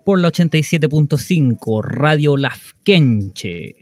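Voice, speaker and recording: A man's voice: fairly high pitch, lively intonation, slow, a little mellow, dry recording. A man hosting a community radio show, presenting segments and reading aloud. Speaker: radio host.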